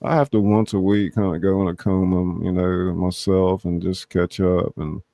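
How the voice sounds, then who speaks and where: Male host, low mellow voice, dry sound, folksy and laid-back casual talk. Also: little pitch movement, moderate pace, vocal fry, no room sound. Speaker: show host and writer. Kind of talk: casual chat.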